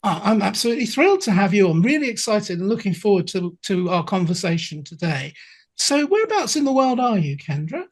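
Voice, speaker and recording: A middle-aged English woman hosting a business podcast, chatty and effusive. Her voice is husky and a little breathy. Her pitch moves up and down a lot as she talks. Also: big pitch swings, a little fast. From England. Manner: chatty, effusive, with playful asides. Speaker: podcast host and accountability coach. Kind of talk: podcast interview.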